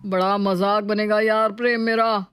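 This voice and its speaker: A woman's voice: mid-range voice, level tone, normal speed, a bit bright, smooth, no echo, natural voice. A woman voicing a grumpy, self-pitying young husband who complains his way through a domestic story. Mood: grumbling. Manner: complaining, dismissive.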